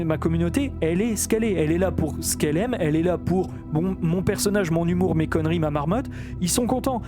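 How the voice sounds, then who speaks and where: High-voiced rambling man, music behind. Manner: animated, rambling with many fillers and restarts. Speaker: YouTuber. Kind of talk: casual ranting vlog.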